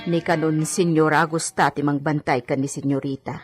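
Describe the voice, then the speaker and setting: A woman's voice: low-pitched, fairly even intonation, moderate pace, music in the background, natural voice. A woman acting a stern, scolding older female household servant in an Ilocano radio drama. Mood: firm.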